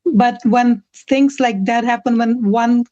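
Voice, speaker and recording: Higher-voiced Indian woman, dry dull audio. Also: steady tone, slow pace, dark tone, no room sound. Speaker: interview guest, community activist. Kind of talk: impassioned personal testimony.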